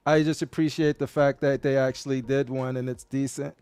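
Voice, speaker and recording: Smooth-voiced man in laid-back chat. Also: medium pitch, little pitch movement, brisk, a little mellow, dry recording. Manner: teasing, laid-back, frequent agreeing murmurs. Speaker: live stream co-host. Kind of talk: casual chat.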